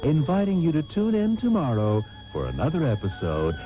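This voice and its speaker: Theatrical radio serial announcer, swooping pitch. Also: male voice, huge pitch swings, moderate pace, slight background noise. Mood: grand, earnest. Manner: theatrical, mock-solemn. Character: melodramatic male soap-serial radio host, grandly earnest. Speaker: host and narrator. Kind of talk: mock-dramatic scripted radio narration. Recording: tinny, narrow old radio tape.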